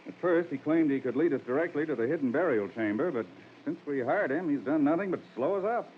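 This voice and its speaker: An actor playing an earnest, helpful expedition assistant, explaining things politely and plainly in 1940s radio style. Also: male voice, on the high side, expressive, fast, close to an everyday voice. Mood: earnest, explanatory. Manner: explanatory, polite, matter-of-fact. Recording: muffled old radio, noisy aircraft ambience.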